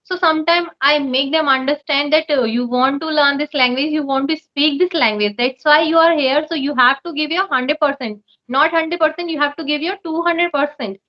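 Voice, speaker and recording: High-voiced woman teacher, lo-fi. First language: not English. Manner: earnest, advice-giving, laughs often. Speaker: online English teacher. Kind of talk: conversation practice chat.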